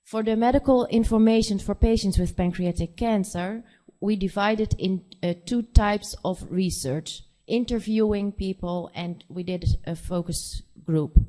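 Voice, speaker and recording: A woman with a Dutch accent, a nurse practitioner giving a conference presentation in English, her second language, speaking haltingly with many fillers. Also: medium pitch, dark tone, poor audio. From Netherlands. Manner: hesitant, halting speech with frequent fillers.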